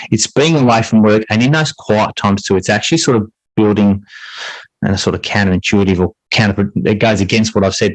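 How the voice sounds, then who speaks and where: A man's voice: low voice, dry recording, rough recording. A middle-aged man, talking reflectively as an interview guest and rephrasing his thoughts as he goes. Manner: thinks aloud, rephrasing as he goes. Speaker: podcast guest, high school English teacher and writer. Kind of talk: conversational, reflective interview talk.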